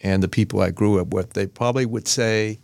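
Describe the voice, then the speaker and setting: Fifties guy, earnest and steady, pausing between phrases, low voice, dry close sound. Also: vocal fry, no room sound. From Vietnam. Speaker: podcast guest. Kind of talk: reflective interview answers.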